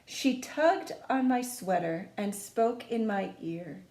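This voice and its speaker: A woman reading a children's storybook aloud in an expressive, sing-song storytime manner, speaking to young listeners like a teacher. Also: mid-range voice, slow pace. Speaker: music teacher reading a picture book to children. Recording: echoey room.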